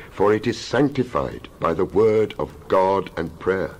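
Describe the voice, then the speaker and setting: Deep-voiced man giving dramatized scripture reading. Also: a little mellow, slight background noise, low-quality recording. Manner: solemn, declamatory, measured phrasing. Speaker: Bible reader.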